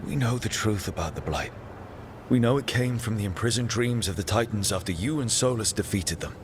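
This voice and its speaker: A man's voice: on the low side, brisk, a little hiss or hum, close to an everyday voice. An actor playing a determined male adventurer in a fantasy video game, speaking earnestly and plainly. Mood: earnest, urgent. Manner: earnest, plain-spoken persuasion. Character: earnest, determined heroic male adventurer pleading his case. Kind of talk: dramatic video game dialogue.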